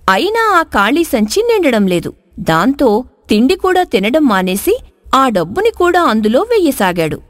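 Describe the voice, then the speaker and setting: A woman storyteller with a high voice and lively, swinging intonation. She narrates a scripted moral tale. The recording is dry and close. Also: no room sound.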